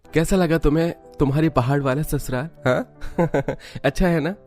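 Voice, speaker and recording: A man's voice: fairly high pitch, normal speed, a little mellow, soft background music, voice pushed up, natural voice. A man voicing a cheerful yet cautious young mountain husband in a cartoon story, coaxing and explaining.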